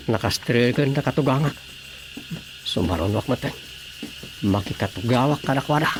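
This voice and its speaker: A man's voice: mid-range voice, a little hiss or hum, natural voice, rough recording. An actor playing a suspicious, grumbling man in a radio drama, muttering and sighing as he talks. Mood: musing, doubtful.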